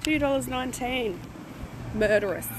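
A woman's voice: on the high side, expressive, normal speed, background noise. A woman in her thirties with an Australian accent chatting casually and playfully in a slangy road-trip vlog, recorded on a phone. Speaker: vlogger. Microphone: phone at arm's length. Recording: loud music behind phone vlog audio. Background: loud music.

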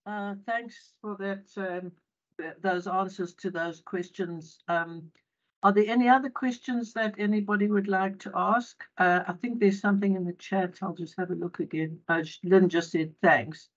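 A woman's voice: medium pitch, steady tone, normal speed. A woman moderating the question session of an online seminar, politely calling on speakers. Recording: muffled video call audio.

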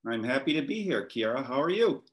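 Male, 50s, American, mid-pitched; relaxed storytelling as a guest on a video-call interview. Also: brisk. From born in France to American parents, raised between Europe, Washington and West Africa. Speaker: interview guest, maritime professional. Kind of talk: casual reminiscing in a video conversation. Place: wood-beamed room at home, indoors.

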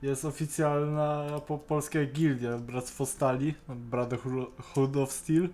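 A man's voice: mid-range voice, fairly even intonation, slow pace, darkish tone. A man chatting casually on an online call, often stammering and repeating the starts of words. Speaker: co-host on a Skype call. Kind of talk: casual chat reviewing an animated series.

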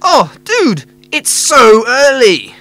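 A woman voices a reckless, carefree talking duck with a high, bright voice that swings about in pitch. The duck is cocky and slangy, in a radio drama style. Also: huge pitch swings, slow pace, heavily acted. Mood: laid-back. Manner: slangy, dude-ish, carefree. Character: Hoisin, laid-back, cocky, reckless magical talking duck.

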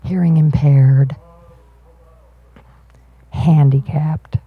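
A woman voicing a signed panel talk as an interpreter, in measured, halting phrases at an auditorium panel. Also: deep voice, fairly even intonation, breathy edge, no room sound, phone-quality sound.

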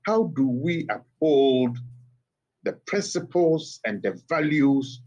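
A middle-aged Ghanaian man speaks over a phone line to a TV studio. His voice is husky and sits at a middle pitch, and he builds his points slowly and firmly, pressing them with rhetorical questions. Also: animated, darkish tone. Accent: Ghanaian English. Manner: measured, emphatic rhetorical questions. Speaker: academic guest on phone. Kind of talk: TV interview commentary.

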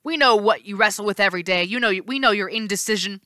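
A woman's voice: mid-range voice, quick pace, sharp tone, no echo, studio quality, lightly acted. A woman reading aloud, voicing a two-faced male god of doorways who mocks and bickers with himself. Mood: taunting. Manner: teasing, sneering, squabbling with himself.

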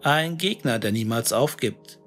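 Slow, brooding male horror narrator. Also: medium pitch, animated, fairly bright tone. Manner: deliberate, brooding, dramatic pauses. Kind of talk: scripted first-person creepypasta narration, musing monologue. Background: music.

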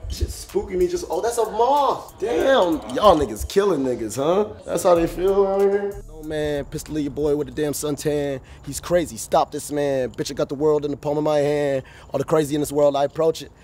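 A man's voice: a little high, moderate pace, a little mellow. A young man in casual banter, rambling through playful jokes.

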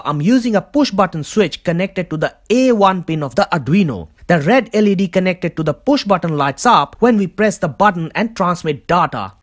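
A narrator presenting a scripted DIY tutorial as the host. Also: medium pitch.

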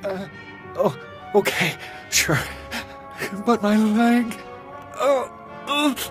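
A man playing a dazed, frightened, wounded townsman in a video game, halting and stammering in pain. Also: high voice, lively intonation, music in the background, full character voice. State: groaning, in pain. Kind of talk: dramatic video game voice acting.

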